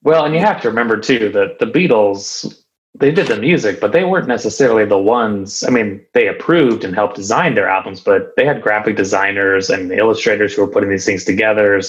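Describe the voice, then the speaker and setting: A man in a casual group discussion, joking and acting out quick bits of dialogue. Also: fairly low pitch, highs cut off.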